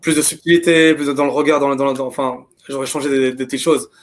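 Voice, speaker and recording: Quick talking guy in animation, chatting on a call, eager. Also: medium pitch, steady tone. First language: French. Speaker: animation professional. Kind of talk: casual panel chat.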